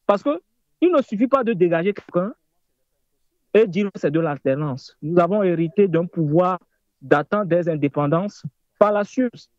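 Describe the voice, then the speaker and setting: A man's voice: high-pitched, expressive, moderate pace, a little mellow, dry recording, highs cut off. A man in his thirties calling in to a political talk show, speaking with insistent, emphatic repetition. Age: 30s. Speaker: caller. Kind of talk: impassioned political call-in.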